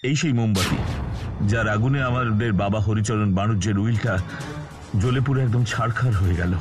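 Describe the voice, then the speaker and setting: A man's voice: fairly low pitch, a little fast, lightly acted. A man voicing a fretful, dramatic middle-aged householder in a cartoon, exclaiming and repeating himself theatrically. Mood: earnest, puzzled. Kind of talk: cartoon voice acting. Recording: music behind the voice.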